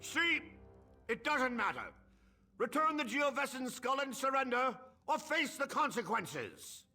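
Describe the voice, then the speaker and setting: A man's voice: very high-pitched, sing-song, unhurried, sharp tone, faint music behind, exaggerated voice. A man playing a pompous, accusing chief inspector in a video game, speaking in a declamatory way. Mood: stern triumph.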